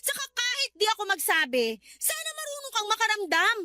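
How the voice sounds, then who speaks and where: A woman's voice: very high-pitched, very animated, a little fast, pushed and bright, full character voice. A woman acting as an indignant, hurt young girlfriend who argues back sarcastically in a radio drama. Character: exasperated, hurt young girlfriend standing her ground.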